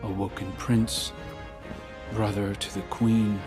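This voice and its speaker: A man playing a wounded, earnest young man haunted by his former self, halting and intense, in a game cutscene. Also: on the low side, flat delivery, music in the background. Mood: anguished, remorseful. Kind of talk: dramatic game cutscene acting.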